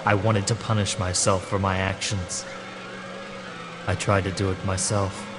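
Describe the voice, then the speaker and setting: A man's voice: low voice, little pitch movement, unhurried, over music. A man giving a grave, sombre first-person narration in a dramatic horror style.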